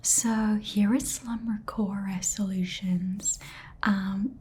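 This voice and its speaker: A woman voicing a sassy, chatty Southern saleswoman in a whispered ASMR roleplay, with soft keyboard typing behind her. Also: mid-range voice, close to an everyday voice.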